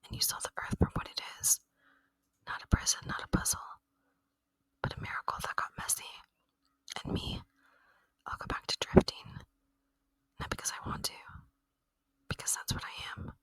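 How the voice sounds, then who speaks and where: Whispering, gentle alien traveler played by a woman. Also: no echo, lightly acted. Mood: wistful, tender. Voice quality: breathy, unvoiced whisper. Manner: slow, tender, intimate, earnest. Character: gentle, lonely, wistful female alien traveler. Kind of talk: ASMR roleplay monologue. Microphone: close microphone, dry.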